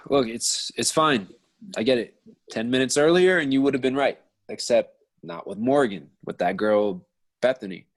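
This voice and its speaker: A man's voice: medium pitch, unhurried, close to an everyday voice. A man voicing a young man at a party in an amateur table read, sounding exasperated. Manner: animated, exasperated.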